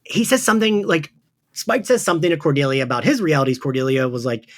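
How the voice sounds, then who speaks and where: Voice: mid-range voice, expressive, quick pace. A podcast host in casual recap chat, giggly, peppering sentences with "like". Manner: giggly, frequent filler "like".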